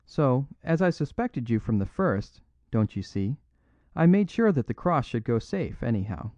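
A man's voice: medium pitch, very animated, normal speed, dry recording, lo-fi sound, voice pushed up. A man doing a mild little apologetic priest. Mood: gentle. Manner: unaffected, gently apologetic.

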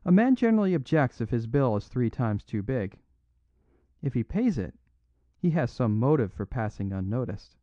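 A man's voice: medium pitch, very animated, moderate pace, dry recording, lo-fi sound, pitched above the performer's own voice, lightly acted. A man doing a mild little apologetic priest. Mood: gentle. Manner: unaffected, gently apologetic.

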